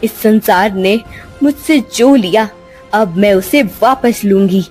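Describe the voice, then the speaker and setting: A woman's voice: medium pitch, slow, darkish tone, faint music behind, lightly acted. A woman voicing a cunning, vengeful female serpent spirit in a cartoon story, declaiming with menace.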